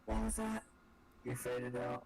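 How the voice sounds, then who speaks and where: A man's voice: mid-range voice, expressive, unhurried, vocal fry. A man chatting casually and teasingly over a noisy voice chat.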